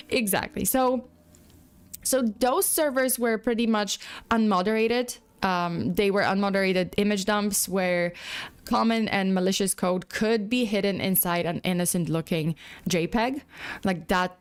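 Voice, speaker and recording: A woman hosting a casual livestream, her voice worn and going hoarse. She rambles in a chatty, loose way and keeps breaking off to thank and greet viewers, at an everyday mid pitch into a desk mic. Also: measured pace. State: losing her voice. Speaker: livestream host.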